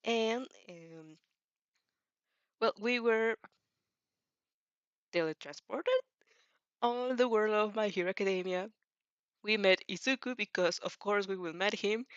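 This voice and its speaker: A woman who is a non-native English speaker, hosting a casual fan fiction read-aloud with an informal, halting, giggly delivery and self-corrections. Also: medium pitch, smooth, no echo, highs cut off. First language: not English. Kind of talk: casual reaction chat and story reading.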